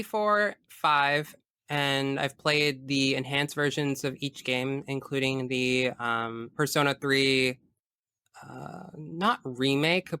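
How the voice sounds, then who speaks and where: A man's voice: mid-range voice, sharp tone, clear, resonant tone, dry recording. A man speaking as a podcast guest, chatting casually about video games in a frank, geeky way.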